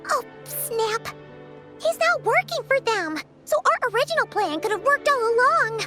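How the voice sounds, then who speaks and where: A tiny, childlike fairy girl from a cartoonish game, extremely high and squeaky. She is excitable and swoops all over, with music playing behind. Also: normal speed. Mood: excited. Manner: squeaky, exclamatory. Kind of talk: cartoonish video game voice acting.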